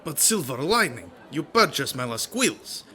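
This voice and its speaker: A man's voice: a little high, very animated, unhurried, crowd noise. A man playing a kindly, jovial pony quill seller in a fan radio drama, theatrical and flowery.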